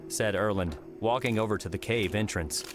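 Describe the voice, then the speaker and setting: A man's voice: lowish voice, normal speed. A man reading scripted story narration for an audiobook. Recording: music behind the voice.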